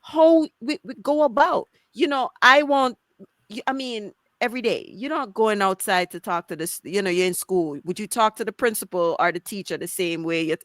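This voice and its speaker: Animated Jamaican woman, dry close sound. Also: medium pitch, moderate pace, dry recording. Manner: animated, switches into patois. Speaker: podcast host. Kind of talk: conversational interview.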